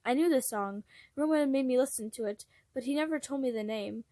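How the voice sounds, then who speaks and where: A woman's voice: high voice, brisk, dark tone, no echo, studio quality. A woman reading fan fiction aloud as an amateur narrator, expressively and with acted dialogue.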